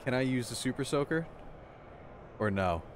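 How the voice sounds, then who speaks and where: A man in his twenties in a small room with a headset, casually commentating a live stream and chatting excitedly with viewers. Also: medium pitch, moderate pace, a little hiss or hum. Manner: excitable, chatty, addresses chat.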